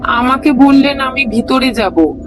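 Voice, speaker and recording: A woman's voice: high voice, animated, takes it slowly, pitched above the performer's own voice. A woman voicing a nervous, bewildered young man in an audio ghost story. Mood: shaken, recounting. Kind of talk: audio story character acting. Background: music.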